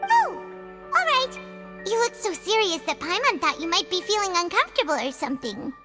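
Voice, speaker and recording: Squeaky, very high childlike fairy girl. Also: very animated, moderate pace, in a large hall, music in the background. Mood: curious. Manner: refers to self in third person, chirpy. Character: tiny chatty floating fairy girl companion, childlike, curious. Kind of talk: cartoonish video game acting.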